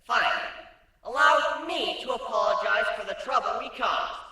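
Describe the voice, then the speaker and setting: A piercingly high, bright changeling showman acted out by a man proclaims theatrically in a fantasy story. The delivery is loud and drawn out, swinging widely, with a roomy echo around it. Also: expressive, measured pace, recorded from a distance, exaggerated voice. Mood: mock formal. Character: giggly, theatrical male shapeshifting changeling showman.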